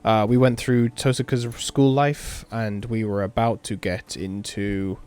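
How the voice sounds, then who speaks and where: A man's voice: on the low side, fairly even intonation, a little slow, a little mellow, clean tone. A tired man with a sore throat, giving casual, laid-back let's-play commentary and reading a visual novel aloud over game music. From England. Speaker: gaming video host.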